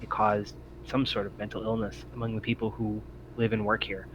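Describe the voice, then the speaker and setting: A man voicing an evasive, impatient android on a derelict spaceship in a tabletop game, with formal, measured and hesitant phrasing. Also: on the low side, flat delivery, fairly bright tone, natural voice. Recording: muffled lo-fi mic with faint noise.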